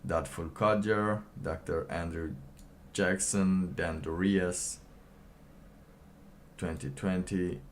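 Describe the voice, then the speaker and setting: Slow, low-voiced man with background noise. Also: steady tone. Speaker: livestream host. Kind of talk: casual livestream commentary, reading documents aloud.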